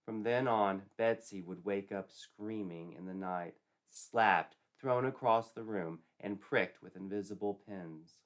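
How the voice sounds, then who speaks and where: A man's voice: lowish voice, a little slow, few pauses. A man narrating a scripted comic story in a theatrical, wry, tongue-in-cheek manner.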